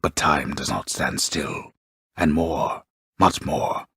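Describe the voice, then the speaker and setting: Very deep, husky grave storyteller. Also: male voice, fairly bright tone, no echo, clean studio sound, full character voice. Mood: portentous. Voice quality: gravelly, breathy husk. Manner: grave, theatrical, deliberate literary phrasing. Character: solemn old-fashioned omniscient storyteller with wry gravity. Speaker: video game narrator. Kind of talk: scripted dramatic storytelling narration.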